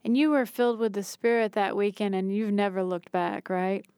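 Host: woman interviewer, encouraging, smooth higher voice, dry close sound. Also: steady tone, moderate pace, a little mellow, dry recording. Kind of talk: interview questions.

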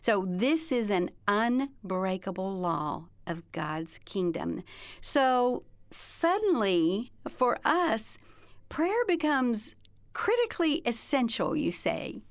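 A woman's voice: mid-range voice, sing-song, takes it slowly, no room sound. A woman with a Southern American accent hosting an interview, enthusiastic and speaking straight to listeners. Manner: enthusiastic, addresses listeners directly. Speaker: talk show host. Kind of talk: interview host.

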